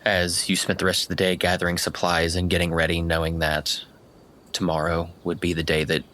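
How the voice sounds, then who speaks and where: A man's voice: deep voice, noisy background. A man narrating a tabletop roleplaying game as its game master.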